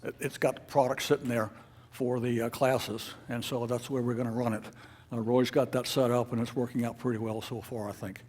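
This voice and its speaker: A man leading a club meeting, giving informal announcements in a halting, unscripted way. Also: mid-range voice, level tone, darkish tone. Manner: halting, hesitant, unscripted delivery. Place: club meeting room with an audience.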